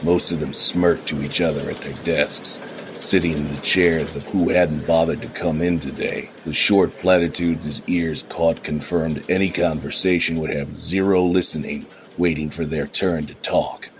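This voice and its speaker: A man narrating a noir radio play in a measured, even storytelling way. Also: a bass voice, noisy background. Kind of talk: scripted narration.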